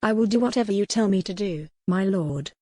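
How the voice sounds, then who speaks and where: A woman's voice: medium pitch, fairly quick, warm tone, no echo, clean studio sound, lightly acted. A woman narrator playing a proud young noblewoman, formal and earnest, defiant yet remorseful. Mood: earnest, contrite.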